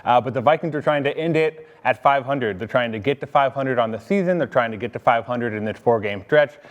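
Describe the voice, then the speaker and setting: Mid-pitched man, sports reporter. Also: brisk, dull audio. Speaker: team reporter and panelist. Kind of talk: conversational sports analysis.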